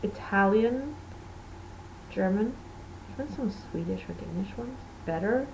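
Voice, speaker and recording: A woman's voice: mid-range voice, lively intonation, unhurried, vocal fry, a little mellow, a little hiss or hum, low-quality recording. A woman from Portugal, a native Portuguese speaker, hosting a knitting podcast in rambling, self-correcting casual chat.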